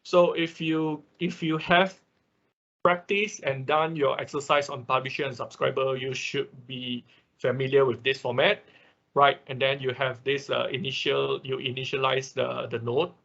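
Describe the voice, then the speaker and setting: A male instructor with a bright, forward voice and a creaky edge walks through a screen-share tutorial. He goes step by step, haltingly, and keeps tagging his points with a quick check. The sound is slightly dull, like online class audio. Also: medium pitch, level tone. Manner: halting, step-by-step, often ending on a checking "right?".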